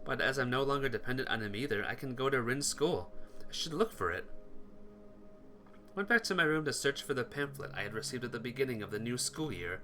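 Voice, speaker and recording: Bright-voiced man narrating a visual novel. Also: mid-range voice, animated, fairly quick, soft background music. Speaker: playthrough narrator reading game text aloud. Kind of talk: dramatic story narration.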